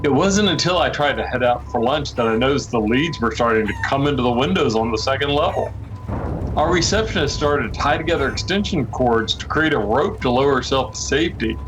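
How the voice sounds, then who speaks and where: A man's voice: medium pitch, expressive, brisk, music in the background, lightly acted, low-quality recording. An actor playing a dazed male office worker giving earnest mock eyewitness testimony in an audio drama. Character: dazed male office employee recounting a disaster.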